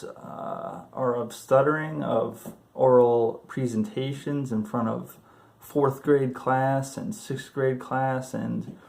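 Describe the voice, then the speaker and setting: A man's voice: medium pitch, vocal fry, a little mellow. A man in his thirties who stutters, talking casually to camera about his own experience, with repeated words and fillers. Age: 30s. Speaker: video host sharing stuttering tips.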